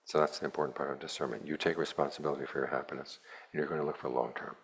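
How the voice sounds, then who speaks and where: A man, a Buddhist monk, giving a plainspoken, measured dharma talk to a retreat group with a dry, wry touch. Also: a bass voice, steady tone, breathy edge.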